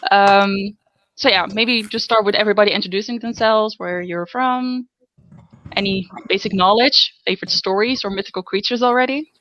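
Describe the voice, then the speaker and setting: A woman's voice: medium pitch, moderate pace, fairly bright tone, dry recording. Woman, Dutch accent, young adult, casual host, self-deprecating, dry close sound, muffled. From Netherlands. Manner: chatty, self-deprecating, laughs often. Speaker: podcast host. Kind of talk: casual group podcast chat.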